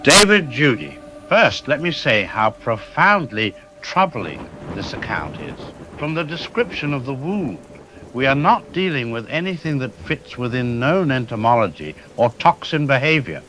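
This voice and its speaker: A man's voice: medium pitch, very animated, a little slow. An actor playing a troubled male scientist giving formal, clinical expert commentary. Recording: clear background noise.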